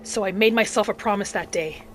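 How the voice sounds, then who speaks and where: A woman's voice: mid-range voice, fairly quick, a little hiss or hum, close to an everyday voice. Woman voicing a vengeful young vampire hunter, dramatic. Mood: grim resolve. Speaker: roleplay gamer narrating in character. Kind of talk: dramatic in-character game narration. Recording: music behind the voice. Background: game music.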